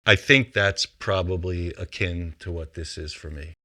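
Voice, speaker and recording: A man's voice: deep voice, unhurried, bright, forceful tone. A man, a composer and songwriter, casually explaining his work in a reflective way, as if thinking aloud.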